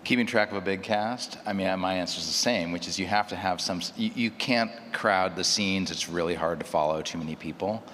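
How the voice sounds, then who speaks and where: A man's voice: low voice, fairly quick, few pauses, fairly bright tone. A man speaking as a panelist, explaining his approach in a discussion. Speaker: panelist, audio drama producer. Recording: echoey hall with faint room noise.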